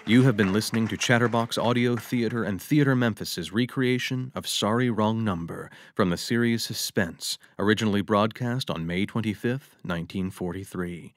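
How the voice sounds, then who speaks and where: A man's voice: fairly low pitch, moderate pace, hardly any pauses. A man announcing scripted closing credits for a radio theater production.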